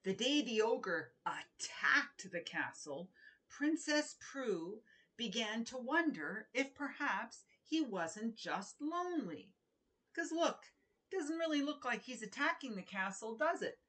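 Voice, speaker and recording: Slow-paced, expressive woman storyteller. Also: a little high. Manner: expressive, deliberate storytelling with emphasized words. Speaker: children's author reading aloud. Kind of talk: children's read-aloud and informal author talk.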